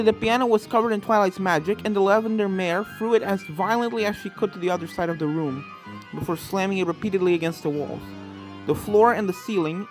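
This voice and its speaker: A woman's voice: mid-range voice, low-quality recording. Woman narrating a story over music. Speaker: fan fiction narrator. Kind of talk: scripted story narration with character voices. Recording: game soundtrack music behind. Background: spooky video game music.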